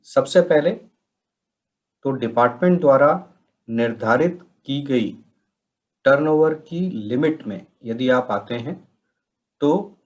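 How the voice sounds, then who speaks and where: A man narrates an instructional slide presentation. He speaks at a slow, measured pace with a mid-range pitch, taking the listener through each point methodically, as a software trainer would. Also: dull audio. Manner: methodical, step-by-step explaining.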